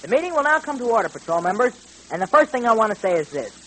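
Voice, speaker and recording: An earnest, eager boy pitching to young listeners on vintage radio. The voice is high and youthful. A faint hiss lies under it. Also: very animated, quick pace, a bit bright, heavily acted, rough recording. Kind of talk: 1930s radio ad acting. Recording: hissy old radio recording.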